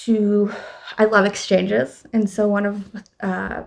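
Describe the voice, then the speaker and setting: A young woman from western Canada, a guest in an interview at a conference, talking in a thoughtful, halting way with many fillers and restarts. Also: mid-range voice, little pitch movement, creaky. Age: young adult. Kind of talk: casual interview talk. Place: conference venue.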